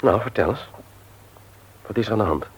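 An actor playing a firm, insistent older brother in a Dutch radio drama, probing with authority. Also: male voice, on the low side, lively intonation, brisk, slightly rough, rough recording. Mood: concerned, probing. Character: firm, persistent older brother investigating a planned burglary.

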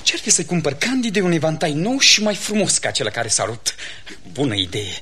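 An actor playing a courtly, eager gentleman in love in a theatrical radio comedy, with flowery, gallant politeness. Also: on the high side, big pitch swings. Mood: eager, scheming.

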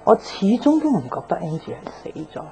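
An actress playing a guarded, jaded young tomboyish bar owner in a radio drama, offhand and slangy with a Hong Kong Cantonese accent. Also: female voice, mid-range voice, sing-song, fairly quick, creaky, warm tone. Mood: rueful, confiding. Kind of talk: naturalistic radio drama acting. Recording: music behind the voice.

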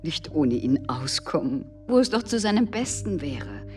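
An actress playing a devoted wife, gentle and coaxing, protective of her family, in a radio drama. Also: low-pitched, sing-song, measured pace, faint music behind. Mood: coaxing, anxious.

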